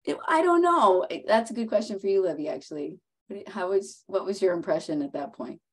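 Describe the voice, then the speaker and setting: A woman panelist getting over a cold, chatting quickly with lots of fillers over a video call. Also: medium pitch, animated, slightly rough. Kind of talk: online panel talk.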